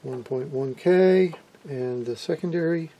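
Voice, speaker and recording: A man's voice: a little high, a little slow, warm tone, muffled recording, a little hiss or hum. A middle-aged man giving a casual, matter-of-fact hands-on demonstration as a hobbyist presenter, explaining as he works. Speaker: vintage radio hobbyist presenter. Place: indoor workshop, off camera at a workbench.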